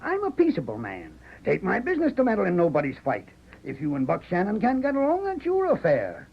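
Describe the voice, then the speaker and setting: A man's voice: huge pitch swings, brisk, exaggerated voice. An actor playing a stubborn, cautious American rancher and father in a 1940s radio Western, with a folksy, querulous drawl. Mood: exasperated. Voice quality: high, cracked, gravelly voice. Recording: muffled vintage 1940s radio recording.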